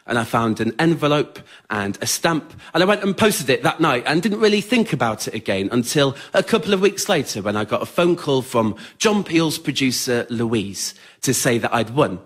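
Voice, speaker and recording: An Englishman performs a scripted personal story with a comic edge. He speaks in a mid-pitched voice as a storyteller on a theatre stage, playing to a live audience. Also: sing-song, moderate pace, few pauses, fairly bright tone. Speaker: writer-performer of a one-man spoken word show.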